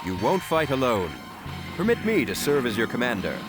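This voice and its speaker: Man as animated, noble gryphon commander. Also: medium pitch, moderate pace, loud music behind the voice, natural voice. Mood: noble, resolute. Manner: formal, rallying, earnest. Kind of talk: heroic video game acting.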